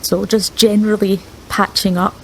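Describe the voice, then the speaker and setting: A woman with a gravelly, mid-pitched voice plays a tabletop game, thinking aloud with hesitations. Faint noise runs behind her. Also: little pitch movement, normal speed, rough recording. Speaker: player. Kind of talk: casual tabletop play.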